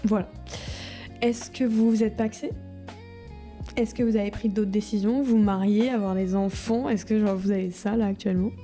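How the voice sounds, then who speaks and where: A woman's voice: mid-range voice, steady tone, moderate pace, dark tone. A woman, talking informally and hesitantly in a casual video diary, as if to her future self. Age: 20s. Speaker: vlogger. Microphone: microphone in front of a camera. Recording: music behind the voice. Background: music.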